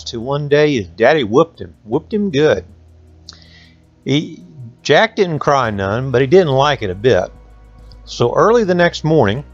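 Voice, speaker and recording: A man telling a folksy tale to children in colloquial dialect. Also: mid-range voice. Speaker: storyteller. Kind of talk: folksy oral storytelling for children.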